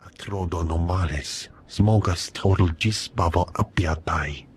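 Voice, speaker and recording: A man voicing a gruff alien contact in a video game, guttural and very husky. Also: low voice, measured pace, full character voice. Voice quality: very husky, raspy. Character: gruff male alien contact speaking an invented tongue. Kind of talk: scripted video game dialogue.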